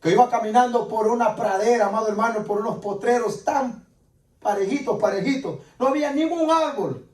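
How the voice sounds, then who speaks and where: Guy preaching, really high voice, repeats lines for emphasis, echoey and kinda far from the mic. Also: a little fast, a little mellow. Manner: emphatic, insistent repetition of phrases. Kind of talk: sermon, dramatic personal testimony. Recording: echoey room, distant microphone.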